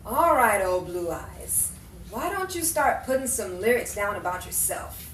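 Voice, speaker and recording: A woman's voice: mid-range voice, very animated, fairly bright tone. Woman acting pushy witty gal pal, 50s hollywood drama style, roomy sound, off the mic a bit. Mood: coaxing. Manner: brisk, insistent, wisecracking. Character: bossy older woman friend, quick-witted and encouraging. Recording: roomy, distant mic, faint background noise.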